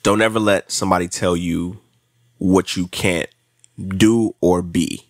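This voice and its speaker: A man's voice: low-pitched, steady tone, measured pace. A man in his late twenties with an American accent, chatting casually as an interview guest, repeating himself for emphasis. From South Florida, United States. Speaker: podcast guest, track athlete.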